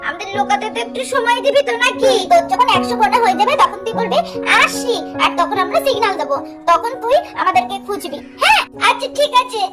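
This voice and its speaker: A woman voicing bossy, excitable little doll children in a cartoon story, chatty and insistent, repeating phrases. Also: very high voice, fast, voice pushed up. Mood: playful, bossy. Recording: loud music behind the voice. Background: children's music.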